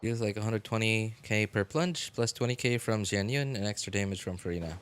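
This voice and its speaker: A man live-streaming a game, chatting casually with viewers and answering chat in a relaxed, chatty way. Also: on the low side, brisk, smooth. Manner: frequent fillers and restarts, laughs easily.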